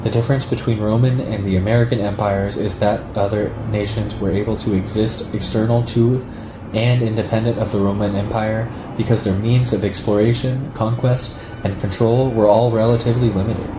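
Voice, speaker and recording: Male reader, lowish voice, measured and deliberate delivery of political text; echoey, noisy home-style recording. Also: steady tone. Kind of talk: reading a political essay aloud. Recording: roomy echo, clear background noise.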